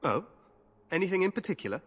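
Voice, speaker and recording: A man's voice: high voice, sing-song, fast, husky, big-room sound, heavily acted. An actor playing a young, overconfident, boastful king eager for glorious war in a fantasy video game. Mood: regal, curious. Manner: breezy, boastful, regal.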